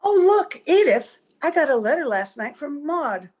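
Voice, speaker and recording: A woman's voice: sits high, big pitch swings, moderate pace, darkish tone. A woman playing a youthful, sweet, earnest wife in old-time radio drama, with a theatrical delivery. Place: at home, recorded over video call.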